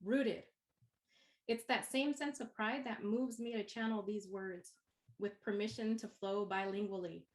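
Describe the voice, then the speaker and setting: A woman's voice: medium pitch, fairly even intonation, poor audio. A Chicana woman from East San Jose, a university instructor whose first language is Spanish, giving a poetic spoken-word welcome address in English laced with Spanish. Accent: Chicana English with Spanish code-switching. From East San Jose, California. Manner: poetic, rhythmic, unhurried phrasing.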